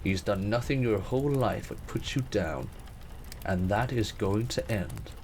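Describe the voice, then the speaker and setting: A man's voice: on the low side, unhurried, a little mellow, a little hiss or hum, natural voice. A man playing a protective, commanding king in a period romance audio drama, speaking formally and measuredly. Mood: resolute, protective. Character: protective, commanding king, teasing but fond cousin.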